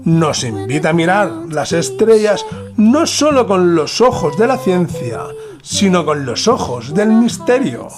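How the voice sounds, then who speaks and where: A man from narrates a scripted mystery documentary in a solemn, dramatic manner with deliberate pauses. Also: high-pitched, sing-song, music in the background. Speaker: podcast host narrator.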